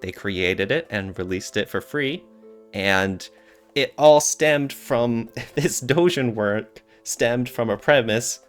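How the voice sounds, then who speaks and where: A man's voice: mid-range voice, slow, faint music behind. A man talking casually and explaining things as a podcast co-host.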